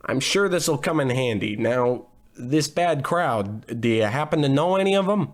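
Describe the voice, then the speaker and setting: An actor playing a wisecracking, cheap private detective with a deadpan, hard-boiled delivery in 1940s radio noir parody. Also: male voice, mid-range voice, moderate pace, lightly acted. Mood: wry. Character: wisecracking, down-on-his-luck male private detective.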